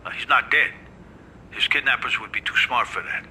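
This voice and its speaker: An actor playing a calm middle-aged mafia adviser in a video game, measured and businesslike, with an American accent. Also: male voice, mid-range voice, slight background noise, poor audio. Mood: concerned. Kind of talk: dramatic video game acting.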